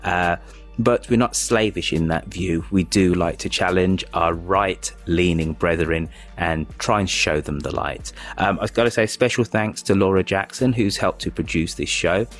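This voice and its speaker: A man hosting a political podcast, speaking in a measured, thoughtful way. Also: low-pitched, faint music behind. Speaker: podcast host.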